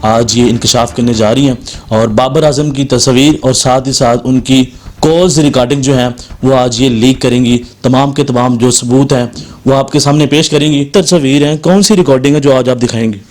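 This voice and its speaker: A man's voice: medium pitch, steady tone, normal speed, a little mellow. A man with a Pakistani accent speaks as a host, introducing and interviewing in a news style.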